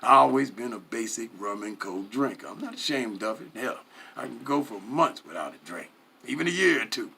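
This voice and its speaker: A man reading a first-person story aloud in an animated, expressive way, with emphatic swells and hushed drops. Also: medium pitch, moderate pace, gravelly, breathy edge. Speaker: story reader.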